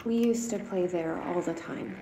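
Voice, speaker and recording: A woman's voice: lowish voice, moderate pace, warm tone, lightly acted. An actress playing a guarded young woman who answers hesitantly and turns defensive about her childhood. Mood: remembering. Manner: hesitant, defensive when pressed. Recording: echoey room with faint noise.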